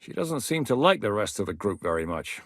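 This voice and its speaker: An actor playing a soldier who lays out battle plans in a video game, measured and matter-of-fact. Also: male voice, on the low side, big pitch swings, fairly bright tone, no echo, studio quality, heavily acted. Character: calm scheming male soldier planner. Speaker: military planner in a video game. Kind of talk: game voice lines.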